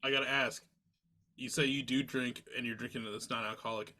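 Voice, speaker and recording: A man's voice: mid-range voice, fairly even intonation, fairly quick, bright, forceful tone. A man hosting a podcast, chatting with a band in an eager, filler-heavy way. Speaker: podcast host. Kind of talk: casual interview chat.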